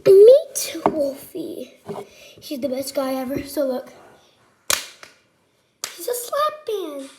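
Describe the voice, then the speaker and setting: A young child with a high-pitched voice records a casual, rambling vlog. The delivery is slow and unhurried, and clear background noise sits behind the voice. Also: big pitch swings. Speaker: vlogger.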